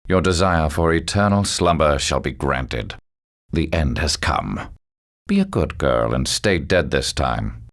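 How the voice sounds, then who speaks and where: An extremely deep, creaky villain in a video game, cold and arrogant. He speaks slowly and deliberately, with smug, mocking menace and theatrical swings in intonation. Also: animated, fairly bright tone, exaggerated voice. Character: cold, arrogant male villain, superhuman schemer with sinister calm.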